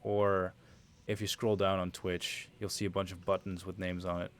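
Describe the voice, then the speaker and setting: Dutch male streamer, low voice, laid-back rambling commentary, faint hiss behind. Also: moderate pace. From Netherlands. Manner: relaxed, rambling, chatting to viewers while playing. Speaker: game streamer. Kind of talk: casual livestream commentary.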